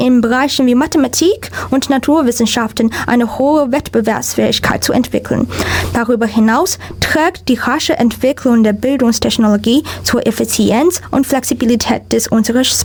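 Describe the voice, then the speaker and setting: A woman student reading a formal prepared statement, careful and steady, with small slips in long sentences. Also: fairly high pitch. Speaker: student presenter.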